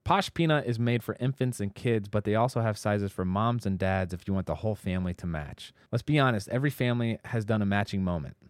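A man co-host with a lowish voice reads a sponsor ad, close and dry on the mic. His delivery is steady and friendly. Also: quick pace, few pauses, no room sound. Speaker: podcast co-host. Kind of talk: host-read ad.

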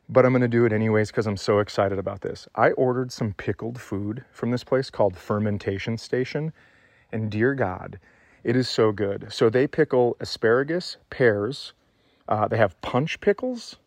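A man's voice: medium pitch, darkish tone, no echo. Casual comedic male podcaster, dry close sound.

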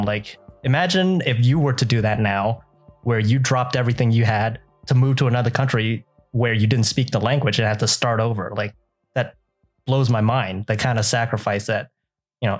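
A young man from Southern California, born to a Vietnamese-speaking home, talking as a cinematographer guest in a relaxed, reflective interview. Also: mid-range voice. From Southern California, USA. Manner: relaxed, reflective, uses many fillers. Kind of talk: casual interview conversation.

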